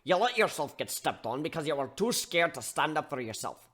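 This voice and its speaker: A man voicing a gruff, scolding barkeep who gives blunt tough-love lectures in folksy slang in a dramatic story reading. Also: fairly high pitch, big pitch swings, a little fast, fairly bright tone. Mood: blunt, lecturing.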